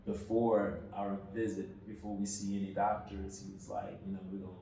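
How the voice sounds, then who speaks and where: A man from Senegal, a guest in an interview, telling his story casually with many pauses, fillers and restarts. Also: on the low side, flat delivery, gravelly. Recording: echoey room, mic at a distance, faint noise.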